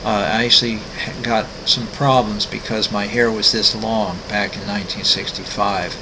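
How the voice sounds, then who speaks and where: A man in his sixties, an artist walking through his website, talking casually with many ums, pauses and restarts. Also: on the low side, little pitch movement, background noise. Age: 60s. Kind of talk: casual screen-recorded walkthrough.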